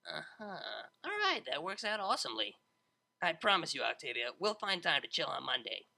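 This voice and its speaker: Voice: medium pitch, sing-song, normal speed, vocal fry, bright, forceful tone, exaggerated voice. A narrator playing a brash, slangy pony DJ girl. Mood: upbeat, chatty. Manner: slangy, casual, blunt. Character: brash, playful young female pony DJ, slangy and casual.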